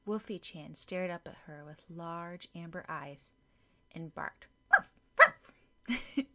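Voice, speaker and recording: A woman's voice: on the low side, fairly even intonation, unhurried. A woman reading a story as a scripted audiobook narrator.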